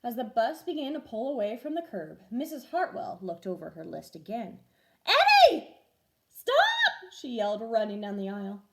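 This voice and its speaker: A woman reading a children's storybook aloud as a teacher would, expressive and clearly paced for young listeners. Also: mid-range voice, a little fast, few pauses, a little hiss or hum. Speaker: first grade teacher reading stories aloud.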